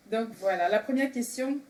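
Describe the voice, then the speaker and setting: A woman hosting a casual group discussion in an enthusiastic manner. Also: high voice. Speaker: host. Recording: clearly noisy room recording.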